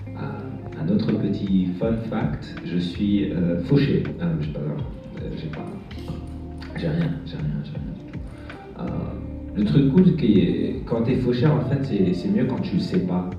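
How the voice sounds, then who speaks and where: A young man from France performing a stand-up set on a bar stage, talking in a halting way with lots of fillers and restarts. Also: low-pitched. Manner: hesitant, halting delivery with many fillers and restarts. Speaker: stand-up comedian. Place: bar stage with live audience. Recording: echoey, dull room sound from far off, music behind.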